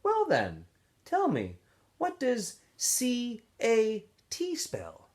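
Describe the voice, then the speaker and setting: A cold, sarcastic female warden from a storybook, put on by a male reader. The questions lift high with pointed, swooping intonation. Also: wildly swooping pitch, very slow, darkish tone, exaggerated voice. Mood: testing, condescending. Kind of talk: storybook character voice.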